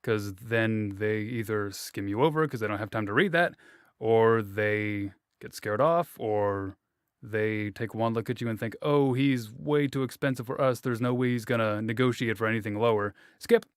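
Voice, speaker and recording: A man's voice: lowish voice, normal speed, clean tone, no echo, studio quality. A man hosting a casual interview, asking long rambling questions with hesitations and restarts. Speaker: interviewer, host.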